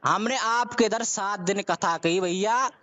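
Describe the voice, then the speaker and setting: A man's voice: high-pitched, a little slow, pushed and bright. A man, arguing insistently and repetitively in a muffled phone call recording. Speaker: assistant religious storyteller disputing payment. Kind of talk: heated phone argument.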